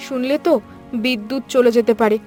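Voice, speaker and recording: A woman's voice: a little high, steady tone, a little fast, warm tone, soft background music, lightly acted. A woman voicing a concerned, polite young daughter-in-law in a cartoon story, caring and inquisitive.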